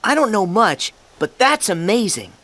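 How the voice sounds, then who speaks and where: A man's voice: sits high, sing-song, moderate pace, pushed and bright, exaggerated voice. A man playing a cheerful, earnest teenage boy hero in a video game, with an eager, boyish delivery. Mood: earnest, upbeat. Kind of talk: English video game voice acting.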